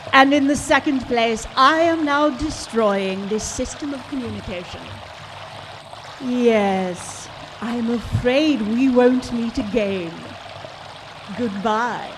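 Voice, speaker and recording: A woman performs a criminal mastermind in an old-time radio mystery. The delivery is slow and deliberate, coolly polite and full of taunting menace. The voice sits a little high, with clear noise behind it in a live recording. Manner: deliberate, taunting, savoring each threat. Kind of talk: old-time radio drama acting, live stage reading.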